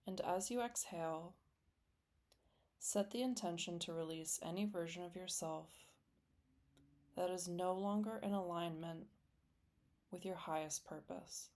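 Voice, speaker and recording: Female meditation guide, lower-pitched voice, gentle and unhurried scripted delivery, soothing, with long pauses.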